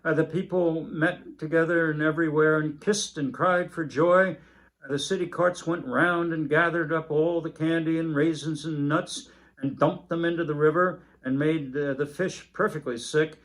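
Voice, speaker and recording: Older man, higher-pitched voice, reading aloud. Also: fairly even intonation, normal speed, a bit bright, rough recording. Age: 70s. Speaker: story reader. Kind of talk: reading a children's Christmas story aloud.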